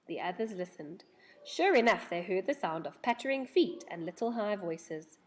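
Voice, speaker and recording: A woman narrates a children's book with a very lively, swooping delivery. Her voice sits at an everyday female pitch, and she tells the tale with dramatic expression. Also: normal speed. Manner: animated, dramatic storytelling. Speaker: storyteller reading a children's book aloud.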